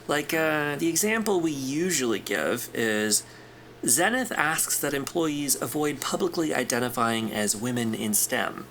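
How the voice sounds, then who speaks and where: Easygoing interviewer, lively intonation. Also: male voice, on the high side, a little hiss or hum. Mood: tactful, businesslike. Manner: informal, reassuring, tactful, measured. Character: smooth, easygoing corporate interviewer at aerospace company. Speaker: actor in audio drama. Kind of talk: naturalistic sci-fi audio drama acting.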